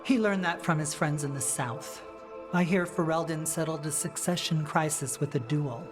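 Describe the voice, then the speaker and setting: A woman's voice: low-pitched, music in the background, natural voice. A woman acting a composed, firm fantasy rebel leader. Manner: measured, firm, earnest. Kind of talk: dramatic video game dialogue acting.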